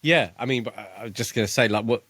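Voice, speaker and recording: A man's voice: medium pitch, racing, fairly bright tone, slightly rough, no room sound. A man co-hosting a podcast, casually discussing a book in a chatty, jokey way. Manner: chatty, jokey, prone to laughing. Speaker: podcast co-host.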